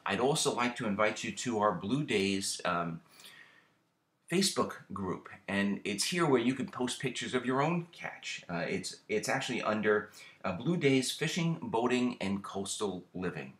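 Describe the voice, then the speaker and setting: A middle-aged man hosting a fishing channel, explaining a how-to in a relaxed, casual way with lots of ums and repeated words. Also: on the low side, measured pace, reverberant.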